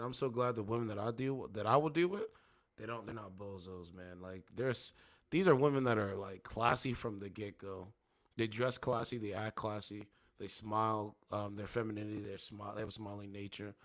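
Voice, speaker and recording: Slangy young man hosting a livestream. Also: mid-range voice. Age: 20s. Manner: slangy, repetitive, preachy. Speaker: livestream host. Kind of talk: casual livestream chat.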